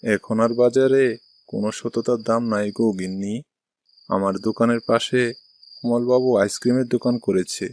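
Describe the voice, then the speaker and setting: A man's voice: medium pitch, fairly even intonation, moderate pace, a little mellow, lightly acted. A man voicing an ice cream seller and husband in a cartoon story. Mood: earnest, patient. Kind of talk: Bengali cartoon dialogue.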